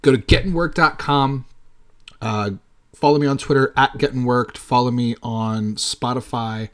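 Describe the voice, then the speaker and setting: A man's voice: mid-range voice, steady tone, normal speed, clear, resonant tone. A man in his late thirties hosting a podcast solo, talking casually in a rambling way and swearing freely. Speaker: podcast host.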